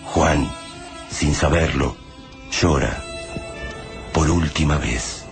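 Deep grave male narrator. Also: vocal fry, music in the background. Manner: grave, measured. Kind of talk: scripted dramatic narration.

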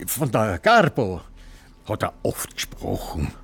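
A man's voice: lowish voice, wildly swooping pitch, vocal fry, fairly bright tone, breathy edge, faint music behind, full character voice. An actor playing a hesitant, rambling old film director, stammering as he reminisces in a radio drama. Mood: wistful, reminiscing. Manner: halting, stammering, searching for words. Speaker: guest on a show.

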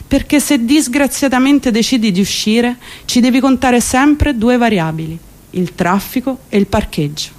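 A woman performing a comic theatrical monologue, colloquial, wry and emphatic. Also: a little high. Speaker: stage actress and monologist.

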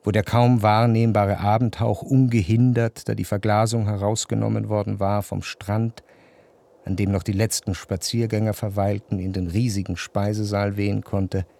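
An actor playing a reflective male narrator, delivering measured, introspective literary narration in a German radio play. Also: on the low side, level tone, creaky, mellow. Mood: reflective, lyrical. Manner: measured, introspective, long flowing sentences. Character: reflective, jealous male narrator recalling his past.

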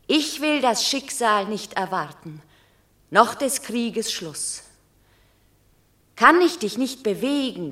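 A woman's voice: on the high side, bright, forceful tone, heavily acted. An actress playing a noble Roman mother in a classical verse radio drama, grave, formal and imploring but unyielding.

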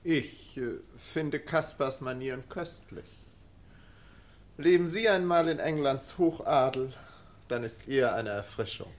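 An actor in a German radio play playing a suave, indulgent English lord with a polite, hesitant aristocratic manner. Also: male voice, mid-range voice, slow pace, lightly acted. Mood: suave, amused. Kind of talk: German radio drama acting.

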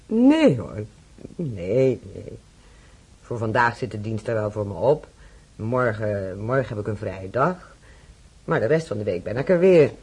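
Een actrice speelt een kalme, zakelijke verpleegster die een patiënte geruststelt, in een hoorspel. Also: female voice, rumbling low, very animated, unhurried, a little mellow, husky, exaggerated voice. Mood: reassuring. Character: calm hospital nurse, businesslike and kind. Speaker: nurse. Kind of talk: radio drama acting.

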